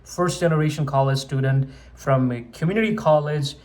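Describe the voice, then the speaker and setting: A man's voice: fairly high pitch, moderate pace, a little mellow. Man, twenties, from Nepal, earnest, personal vlog, filler-heavy, restarts sentences. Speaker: medical student vlogger. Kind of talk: personal story told to camera.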